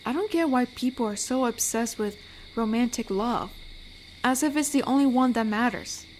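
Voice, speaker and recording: A woman voicing an amiable, protective, lonely female werewolf in an intimate audio roleplay, speaking soothingly and reflectively with pauses. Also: fairly high pitch, darkish tone, a little hiss or hum, lightly acted. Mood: comforting, sincere. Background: night forest ambience with crickets.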